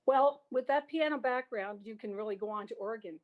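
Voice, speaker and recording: A woman's voice: a little high, fairly quick, narrow, tinny audio. An older woman with a Canadian accent, reminiscing casually and animatedly, with trailing asides. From Prince Edward Island, Canada. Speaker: guest, music educator and organist.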